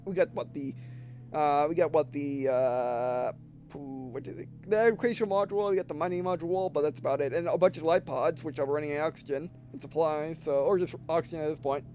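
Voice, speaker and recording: A man's voice: a little high, brisk, darkish tone, soft background music. A man hosting a gaming video, reading visual novel text aloud with casual commentary and often stumbling and rereading phrases.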